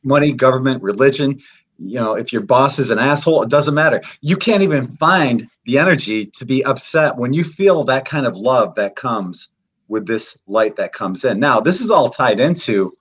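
A man in his late fifties speaks on a video call in an earnest, steady way. He explains at length and leans on repetition to drive his points home. His voice sits in an ordinary middle range for a man. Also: medium pitch, slightly rough, muffled recording. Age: 50s. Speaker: guest. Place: indoor room.

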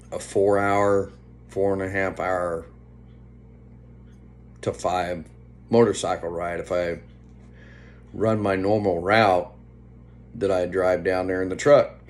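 A man in his fifties chatting casually to a phone at home, unhurried and meandering, often trailing off. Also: sits low. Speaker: vlogger. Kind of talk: casual rambling vlog chat. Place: indoors, small room at home. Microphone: phone at arm's length. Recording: faint background hum on phone recording.

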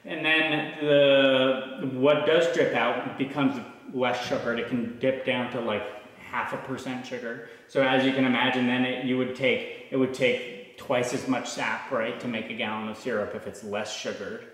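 A young man giving an informal farm tour, explaining as he goes with halting, repeated starts. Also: medium pitch, steady tone, fairly bright tone. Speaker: maple farmer giving a tour. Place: large farm sugarhouse and tasting room. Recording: echoey farm building, some background noise.

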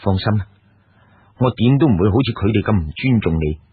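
Man playing a soft, low-voiced tender hero. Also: lively intonation, a little fast, husky, no room sound. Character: gentle, compassionate, gallant male hero. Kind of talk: Cantonese audiobook storytelling. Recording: lo-fi, narrow phone-like radio sound.

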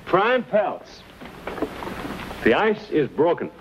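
A bold young American frontiersman from a vintage Western. His delivery is forthright and very animated. The old film soundtrack is clearly noisy. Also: fairly high pitch, slow pace, dull audio, heavily acted. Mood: firm. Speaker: actor playing the hero fur buyer. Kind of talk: 1950s Western screen acting.

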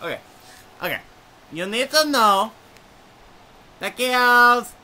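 A man's voice: very high voice, lively intonation, slow, bright, forceful tone, exaggerated voice. A man voicing an eager, silly chihuahua who gives playful, repetitive love advice. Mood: enthusiastic.

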